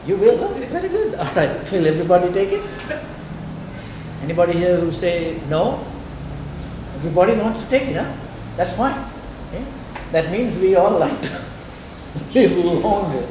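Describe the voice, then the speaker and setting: An older man touched by English, lecturing conversationally and deliberately, often checking in his students. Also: high voice, very animated. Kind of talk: classroom lecture with questions to students. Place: indoor lecture room. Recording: echoey lecture room, distant mic, noisy.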